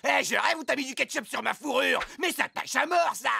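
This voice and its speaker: An actor voicing a tough, mocking male raccoon gang leader with a gravelly, sneering street-thug drawl in a cartoon. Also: sits high, animated, a little fast, heavy background noise, heavily acted. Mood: annoyed. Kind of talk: exaggerated French cartoon dubbing. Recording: loud music behind the voice. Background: music.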